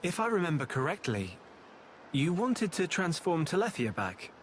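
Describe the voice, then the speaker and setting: A man's voice: on the high side, sing-song, moderate pace, fairly bright tone, a little hiss or hum. A man playing an earnest, thoughtful young adventurer in a video game. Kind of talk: video game cutscene acting.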